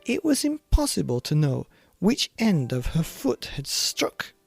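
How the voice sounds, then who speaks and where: A man narrating a children's bedtime story in an expressive, theatrical and deliberate way. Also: a little high, creaky, slightly rough, dry recording, soft background music. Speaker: podcast narrator.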